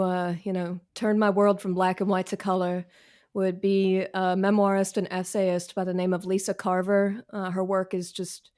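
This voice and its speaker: A woman's voice: mid-range voice, darkish tone, clear, resonant tone. A woman guest author giving informal, candid interview answers in a wry, self-deprecating way, speaking from home on a video call.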